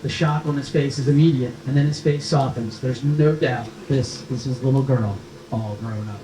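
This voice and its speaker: A man's voice: mid-range voice, steady tone, moderate pace, mellow. A man reading the scripted action and stage directions aloud as narrator at a screenplay table read. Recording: echoey room with faint noise.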